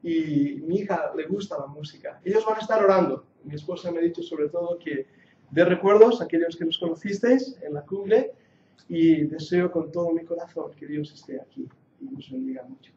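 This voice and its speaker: A man's voice: on the high side, lively intonation, normal speed, darkish tone, slight background noise. A man in his forties from Catalonia with a Catalan accent mixed with English, giving an earnest, jokey Bible class that rises to emphatic preaching. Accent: Catalan Spanish mixed with English. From Catalonia, Spain. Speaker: Bible teacher. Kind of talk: classroom lecture, sermon-like. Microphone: handheld or lapel mic. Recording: muffled echoey hall recording.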